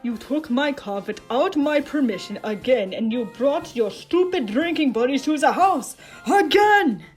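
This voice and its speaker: A woman's voice: medium pitch, expressive, moderate pace, darkish tone, faint music behind, close to an everyday voice. A woman reading the part of a stern, easily exasperated young man who scolds bluntly and stammers when flustered in an audio story. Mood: irritated scolding.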